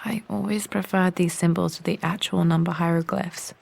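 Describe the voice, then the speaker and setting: A woman's voice: lowish voice, steady tone, moderate pace, gravelly, a little mellow. An actress playing a young, determined woman archaeologist in a video game, with an English accent, murmuring reflectively to herself.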